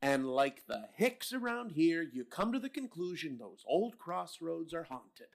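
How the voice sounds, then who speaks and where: Male actor as a hard-nosed police captain; blunt, sarcastic, lively pitch, radio drama. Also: unhurried, gravelly, exaggerated voice. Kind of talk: old-time radio drama reading.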